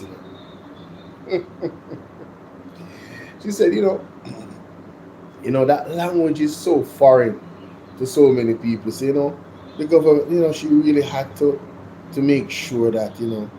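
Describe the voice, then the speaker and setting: A man's voice: mid-range voice, noisy background. Jamaican man commenting, emphatic. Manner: emphatic, sarcastic asides. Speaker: reaction show host. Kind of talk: casual commentary on a clip.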